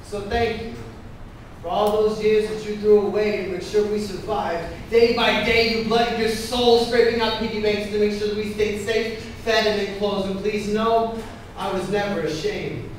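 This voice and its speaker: High-pitched man performing spoken word, very echoey room. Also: fairly even intonation, moderate pace, distant mic. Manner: impassioned, emphatic, rhythmic delivery. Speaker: slam poet. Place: indoor poetry slam venue. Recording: echoey room from afar, faint noise.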